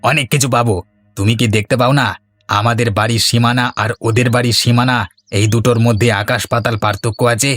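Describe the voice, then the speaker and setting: A man's voice: mid-range voice, steady tone, a little fast, a bit bright, no room sound, clean studio sound, faint music behind, close to an everyday voice. A man voicing a greedy, scheming village husband in a cartoon, bossy and insistent as he plots against neighbours. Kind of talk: cartoon voice acting.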